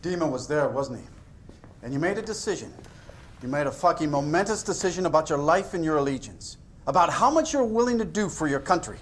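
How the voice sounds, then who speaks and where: An actor playing an aggressive, accusatory interrogator in a game cutscene. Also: male voice, on the high side, lively intonation, brisk, a little hiss or hum, close to an everyday voice. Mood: accusing. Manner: accusatory, pressing.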